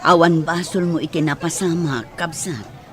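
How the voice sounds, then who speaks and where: A man's voice: fairly high pitch, moderate pace, a bit bright, natural voice. A man playing a middle-aged, consoling and accepting parent in an audio drama, with a soothing way of talking. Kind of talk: radio-style audio drama acting. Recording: music and crowd noise behind. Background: music, outdoor crowd sounds.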